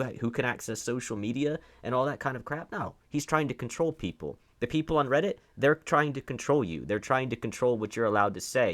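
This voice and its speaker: A man's voice: medium pitch, a bit bright. A man giving opinionated online commentary, reading out and reacting to posts in an argumentative, emphatic way, with rhetorical questions and repeated phrases.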